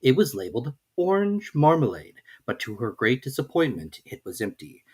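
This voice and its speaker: A narrator reading a classic children's story aloud in an expressive storytelling style. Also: mid-range voice, moderate pace, slightly rough. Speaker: audiobook narrator.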